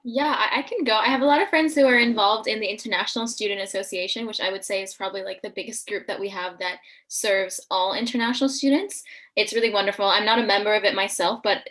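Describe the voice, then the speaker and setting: A young student answers a panel question quickly and with enthusiasm. Her pitch sits in the middle, and her manner is friendly. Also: fairly bright tone. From London, England. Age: young adult.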